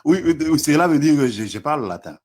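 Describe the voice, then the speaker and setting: Husky stammering Congolese man. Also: a little high, very animated, fast, darkish tone. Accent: Congolese French. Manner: hesitant, stammering, chuckling. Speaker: debater in a live stream. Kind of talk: live online debate.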